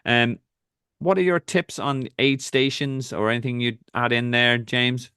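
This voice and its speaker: A man's voice: medium pitch, fairly even intonation, bright, forceful tone, clear, resonant tone, dry recording, studio quality. A man with an Irish accent, a triathlon coach hosting a casual talk and giving direct, practical advice. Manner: direct, instructive, rapid asides.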